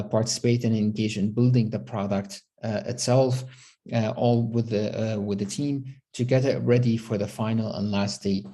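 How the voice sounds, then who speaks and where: A man giving a presentation on a video call, pausing often and filling gaps with filler words. His pitch is slightly low and his tone is mellow and relaxed. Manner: halting, many fillers. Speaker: program presenter.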